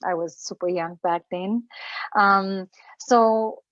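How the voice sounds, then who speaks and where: A woman's voice: medium pitch, steady tone, slow, a bit bright, no room sound, dull audio. A woman from Romania in her forties giving an earnest personal talk as a guest speaker at an online event, with many fillers and restarts.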